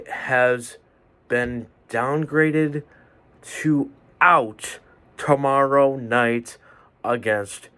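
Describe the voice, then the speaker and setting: A man hosting a solo sports podcast from his bedroom, a little stuffed up, delivering picks in a measured, deliberate way. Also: medium pitch, fairly bright tone, smooth. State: stuffy nose. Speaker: podcast host. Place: home bedroom with fans running.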